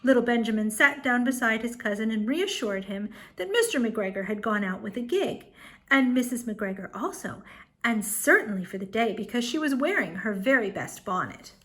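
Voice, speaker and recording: Woman, storyteller, slightly high voice, lively swooping intonation, dramatic pauses, children's read-aloud. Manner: expressive, dramatic pauses and emphasis.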